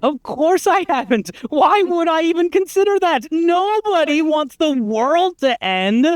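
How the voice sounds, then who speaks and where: A man's voice: very high voice, expressive, unhurried, no room sound, studio quality, voice pushed up. A man voicing a pushy, exasperated, wordy narrator of a storybook game, with a theatrical and insistent delivery. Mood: incredulous.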